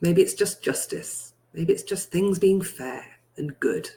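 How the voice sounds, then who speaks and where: A person leading a guided healing meditation, speaking deliberately in repeated first-person affirmations with emphatic phrasing. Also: medium pitch, mellow. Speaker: guided healing session leader.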